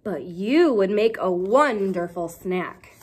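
A woman's voice: mid-range voice, lively intonation, slow pace, breathy edge, pitched below the performer's own voice. A woman voicing a stern male police officer in a storybook, scolding the runaway.

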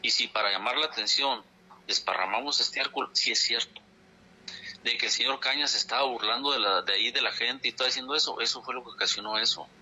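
A man's voice: mid-range voice, quick pace, pushed and bright, slightly rough. Indignant man on the phone. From Chihuahua, Mexico. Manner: indignant, insistent, repeats for emphasis. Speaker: dairy farmers' leader. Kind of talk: phone interview statement. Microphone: telephone. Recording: phone line.

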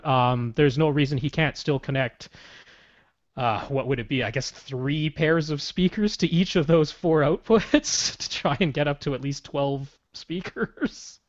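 A young man co-hosting a casual podcast from a small home room, explaining things eagerly and laughing easily, into a studio mic. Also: mid-range voice. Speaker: podcast co-host. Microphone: large studio mic on boom arm. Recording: slightly dull video-call audio.

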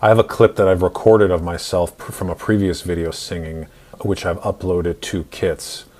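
A man in his forties narrating a screen-recorded software tutorial in an instructional, step-by-step way. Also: sits low, steady tone, normal speed. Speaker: tutorial host.

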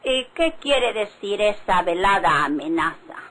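An actress playing a hard, suspicious Mexican country wife in a vintage radio drama, speaking emphatically and accusingly. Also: female voice, medium pitch, animated, a little slow, crowd noise, lightly acted, poor audio. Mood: indignant, suspicious.

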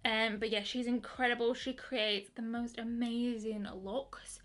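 Chatty excited young woman, slightly high. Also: measured pace. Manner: chatty, excited, many fillers and restarts. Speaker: beauty vlogger. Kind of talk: casual makeup review. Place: bedroom with colourful lighting.

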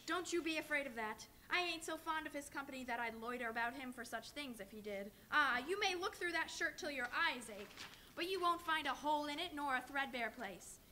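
A woman plays a scornful old thief of a charwoman, coarse and sneering. Her voice sits high and bright, with a faint hum of the hall behind. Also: animated, fairly quick. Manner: sneering, crude.

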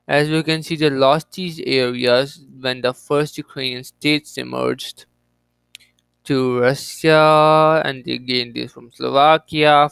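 Young man with a gravelly, smooth voice. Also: fairly high pitch, a bit bright, dry recording, low-quality recording. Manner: halting reading with restarts and offhand jokes. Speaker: geography channel host. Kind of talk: reading and commenting on an encyclopedia page.

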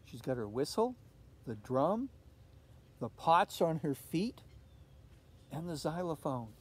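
Animated older man reading a picture book. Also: a little high, takes it slowly, breathy edge. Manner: expressive, asks tag questions. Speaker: storybook reader. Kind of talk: picture-book read-aloud with questions to young listeners. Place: outdoors in a leafy fenced yard. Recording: outdoor recording with faint ambient noise.

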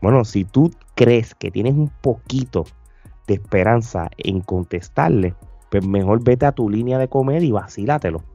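A mellow-voiced man hosts a casual podcast. His voice sits at a middling pitch, and he talks conversationally, repeating phrases for emphasis. The sound is close and dry, with faint music behind him. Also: expressive, moderate pace, no room sound. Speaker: podcast host. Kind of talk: casual reaction podcast chat. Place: indoor room, remote video call. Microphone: close desk podcast microphone with headphones.

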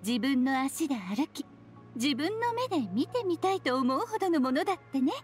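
A woman playing a playful, mysterious, self-assured witch in an anime video game, with a teasing, unhurried delivery. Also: high-pitched, big pitch swings, faint music behind. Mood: serene explaining.

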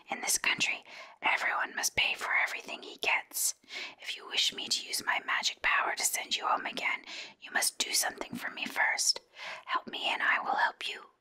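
A woman's voice: pushed and bright, low-quality recording. A woman voicing an imperious, bargaining giant wizard head from a storybook in a stern, commanding whisper.

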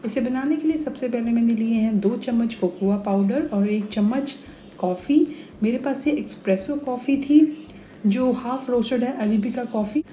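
Mellow woman explaining a recipe. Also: fairly high pitch, moderate pace, low-quality recording. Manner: calm, step-by-step explaining. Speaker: cooking channel host. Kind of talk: voice-over recipe instructions.

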